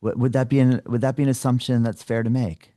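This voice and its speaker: Male interviewer, lowish and very mellow, closely recorded and dry, chatty and appreciative. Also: little pitch movement, quick pace, dry recording. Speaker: podcast host interviewing a guest. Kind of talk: casual conversational interview.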